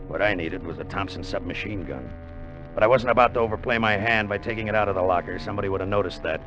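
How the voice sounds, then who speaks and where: A male actor as a brooding salvage crewman with a low voice, plotting and confiding like a hard-boiled radio narrator. The dull 1950 broadcast recording carries music behind him. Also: close to an everyday voice. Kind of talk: 1950s radio drama acting and narration.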